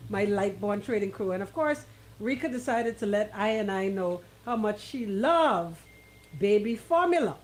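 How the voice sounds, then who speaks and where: A woman's voice: medium pitch, animated, moderate pace, a little mellow, poor audio. A woman with a Caribbean accent hosting radio with casual, playful, teasing banter. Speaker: radio host. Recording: clear background noise.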